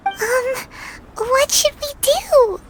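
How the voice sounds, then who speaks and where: Squeaky little fairy companion. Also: female voice, animated, drawn-out words, heavily acted. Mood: curious. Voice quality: squeaky, childlike. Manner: chirpy, exclamatory. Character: small childlike fairy companion, perky and curious. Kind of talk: video game voice acting. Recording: noisy game capture with clicks.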